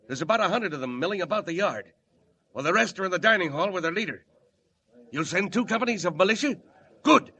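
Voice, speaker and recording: A man's voice: sits high, lively intonation, quick pace, bright, forceful tone, heavily acted. A man playing an anxious but principled prison warden in an old radio drama, speaking with urgent, earnest authority. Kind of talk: 1940s radio acting.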